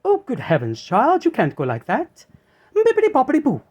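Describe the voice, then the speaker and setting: A man's voice: very high-pitched, huge pitch swings, darkish tone, pitched above the performer's own voice, full character voice. A man voicing a kindly, fussing, motherly fairy godmother in a children's storybook reading. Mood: excited, urging. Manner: drawn-out, deliberate delivery. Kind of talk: children's storybook read-aloud.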